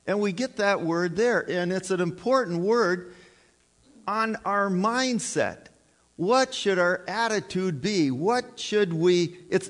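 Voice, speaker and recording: Man preaching, unhurried, slightly high voice, long hall reverb, conversational, quizzes the congregation. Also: gravelly. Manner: conversational, asks the congregation questions. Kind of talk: sermon.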